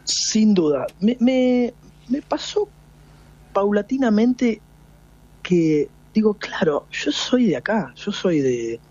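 A man's voice: sits high, sing-song, slow pace, darkish tone, muffled recording. A man in his forties with an Argentine Spanish accent, a folk singer being interviewed, talking reflectively with many restarts. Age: 40s. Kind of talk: radio interview.

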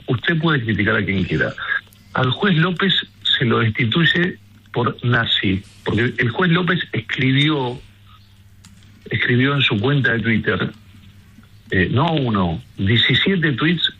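A man's voice: mid-range voice, bright, forceful tone, highs cut off, slight background noise. An Argentine man speaking as an interview guest, indignant and emphatic, with rhetorical turns. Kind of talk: emphatic opinion in a radio interview.

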